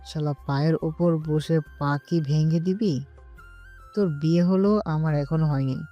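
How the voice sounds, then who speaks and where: A man's voice: on the high side, steady tone, unhurried, mellow, soft background music, close to an everyday voice. A man playing a blunt, joking and supportive young friend in an audio story, recorded slightly muffled. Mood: grumbling, teasing.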